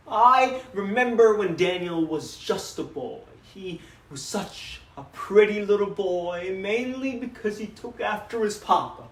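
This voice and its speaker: A man performing a dramatic monologue as a proud, grieving middle-aged father, emotive and full of pauses. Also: high voice. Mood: fond, proud. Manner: theatrical, emotive, with dramatic pauses and repeated phrases. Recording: echoey room, distant microphone.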